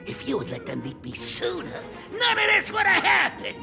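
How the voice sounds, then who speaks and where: Wildly swooping, boisterous gang boss. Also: female voice, extremely high pitch, huge pitch swings, moderate pace, creaky, music in the background, full character voice. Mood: amused scolding. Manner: loud, swaggering. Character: boisterous female gang boss, playful and commanding. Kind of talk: video game voice acting.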